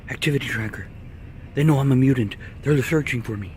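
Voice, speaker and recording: A man's voice: mid-range voice, fairly quick, a little mellow. A man giving casual, joking commentary on products in a crude, wisecracking manner. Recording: noisy background ambience.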